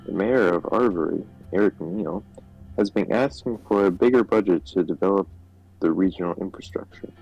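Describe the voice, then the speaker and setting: A formal, businesslike minister in a political game, read by a man with a very low, gravelly voice. The tone is dark and husky, and the audio is a bit dull. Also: moderate pace, soft background music, exaggerated voice. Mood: earnest, businesslike. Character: formal male government minister briefing his president.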